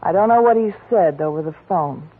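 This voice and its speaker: A woman's voice: lowish voice, expressive, brisk, breathy edge, narrow, tinny audio. An actress playing a glamorous woman who pleads with her old flame, a detective, in a 1940s radio drama, with a coaxing, melodramatic delivery. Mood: pleading. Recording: scratchy 1940s radio broadcast.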